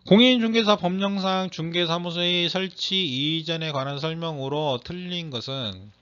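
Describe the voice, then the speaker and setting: A man's voice: fairly high pitch, normal speed, a bit bright, clean tone. A man lecturing for an exam, reading out questions and explaining answers, stressing and repeating key phrases in a slightly strained voice. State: slightly strained voice from throat trouble. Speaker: exam lecturer teaching real estate broker law. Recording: dull, muffled lo-fi audio.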